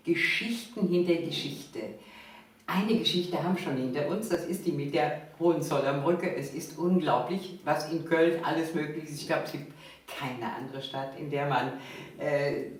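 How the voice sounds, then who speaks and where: A woman's voice: low voice, moderate pace, a little mellow. An older woman, interviewing a guest in a conversational way as host. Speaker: talk show host. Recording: very echoey room, distant microphone.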